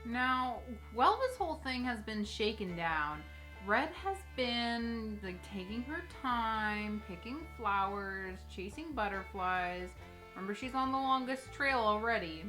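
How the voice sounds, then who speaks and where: A woman tells a fairy tale casually and comically to her viewers. Her voice is bright and somewhat high, and she drops in wry asides. Faint music runs underneath. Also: lively intonation, unhurried, rough recording. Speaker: host, storyteller.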